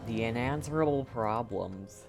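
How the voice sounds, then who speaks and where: A woman streamer chats casually over a game. Her voice is strikingly deep and she talks at a slow, relaxed pace in short reactions, with faint music in the background. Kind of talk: casual live game commentary.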